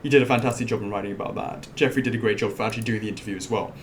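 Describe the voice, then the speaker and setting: A man with a loud voice, co-hosting a live question-and-answer stream in a rambling way, full of restarts and chat shout-outs. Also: fairly low pitch, fairly even intonation, slight background noise. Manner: rambling, halting restarts, reads out chat comments.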